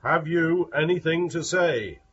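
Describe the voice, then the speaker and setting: A man acting a nervous, deferential hangman in a radio drama, halting and defensive about his work. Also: a little high, fairly bright tone, highs cut off, a little hiss or hum. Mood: formal. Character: nervous, deferential hangman, flustered by failing gallows.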